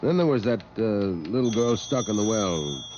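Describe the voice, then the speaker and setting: An actor playing a veteran, matter-of-fact night news editor, talking conversationally in a 1950s radio drama interview. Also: male voice, on the low side, big pitch swings, normal speed. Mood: matter-of-fact, reminiscing. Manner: conversational, reminiscing. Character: veteran male wire-service night editor, matter-of-fact old newsman. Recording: muffled, noisy 1950s radio recording.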